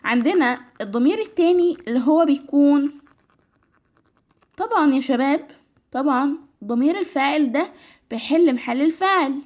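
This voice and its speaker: A woman's voice: high-pitched, animated, moderate pace, long pauses, a little mellow. A woman with an Egyptian Arabic accent, teaching English grammar in a casual, step-by-step way, her voice a little tired. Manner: explains step by step, repeats "تمام". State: tired, slightly strained voice.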